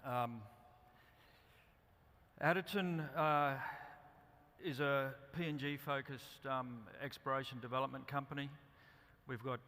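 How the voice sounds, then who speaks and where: An Australian man giving a company presentation at a conference, speaking haltingly with many ums and restarts. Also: medium pitch, sharp tone. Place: conference hall. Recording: reverberant hall.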